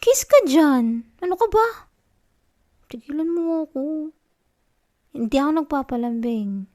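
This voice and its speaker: A woman playing a needy, affectionate wife in an intimate ASMR roleplay, with a Filipino accent and a soft, coaxing, pouty delivery. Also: high-pitched, lively intonation, unhurried. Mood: pouty, flustered. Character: needy, affectionate wife, sulky yet tender and teasing.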